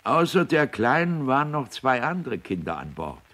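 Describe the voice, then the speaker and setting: An actor playing a chatty, matter-of-fact witness giving testimony in a radio play. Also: male voice, medium pitch, sing-song, moderate pace, bright, forceful tone. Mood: factual. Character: chatty harbour-side witness recalling the yacht's passengers.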